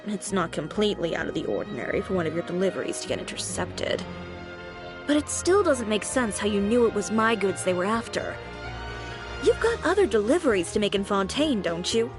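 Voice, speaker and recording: A woman's voice: medium pitch, sing-song, fairly quick, lightly acted. A woman playing a cool, composed fashion designer. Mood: probing. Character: poised young female fashion designer, composed and sharp. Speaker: boutique owner character. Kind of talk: video game voice acting. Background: game music.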